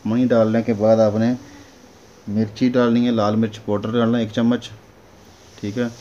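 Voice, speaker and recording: Male home-cook host, low and mellow, instructional and informal, muffled lo-fi sound with background noise. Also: flat delivery, normal speed. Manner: instructional, repeatedly checks in with the listener. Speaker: home cooking channel host. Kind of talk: casual recipe voice-over. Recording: dull lo-fi sound with clear noise.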